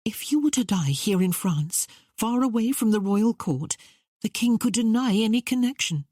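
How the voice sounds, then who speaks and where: A woman's voice: fairly high pitch, animated, normal speed, mellow, no echo, studio quality, voice pushed up. A woman voicing an earnest, impulsive young woman in an audiobook novel. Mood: urgent. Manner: earnest, blurting.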